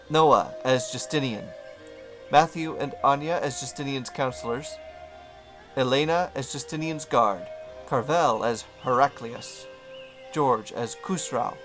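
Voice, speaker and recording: A man's voice: mid-range voice, fairly bright tone, low-quality recording. A man reading scripted closing credits as the announcer of an audio play.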